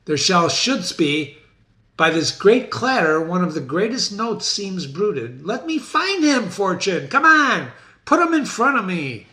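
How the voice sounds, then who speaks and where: A man's voice: high-pitched, sing-song, a little slow, bright, forceful tone, roomy sound, heavily acted. A man playing a vengeful, grieving warrior nobleman, calling out challenges in archaic verse.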